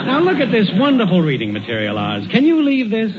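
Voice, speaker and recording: An American man acting an excitable, childish neighbor with an eager, emphatic delivery in a 1940s radio comedy, on a hissy muffled recording. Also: high voice, full character voice. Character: excitable, childish male neighbor, a big kid.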